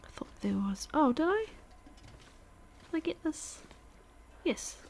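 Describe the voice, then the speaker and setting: A woman in her thirties, a stuffy-nosed streamer chatting casually while she plays, thinking aloud and now and then dropping to a quiet whisper. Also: high voice, very animated, a little slow, vocal fry, slight background noise. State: congested, stuffy nose. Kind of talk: casual live-stream chat while gaming.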